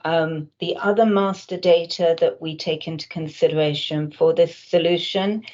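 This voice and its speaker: A woman giving an explanatory webinar presentation as a software consultant, speaking haltingly with frequent hesitations. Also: low voice, fairly even intonation, a little hiss or hum. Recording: dull, muffled lo-fi audio.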